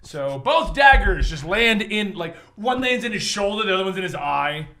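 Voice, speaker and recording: A man's voice: high-pitched, sing-song, moderate pace, sharp tone, slight background noise. A man acting as game master, narrating a tabletop game in a casual, jokey, conversational way.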